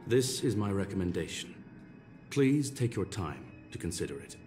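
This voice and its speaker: A man voicing a calm, learned gentleman robot in a video game, speaking formally and politely. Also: deep voice, moderate pace. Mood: polite, reassuring. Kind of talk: video game character dialogue. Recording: game music behind the voice.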